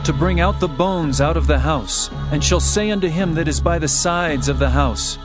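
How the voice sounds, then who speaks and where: A man reads scripture as a dramatized narration. His voice sits a little high for a man, and his delivery is solemn and declamatory. Music plays clearly behind him. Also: normal speed. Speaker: Bible narrator. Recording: music under the voice. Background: background music.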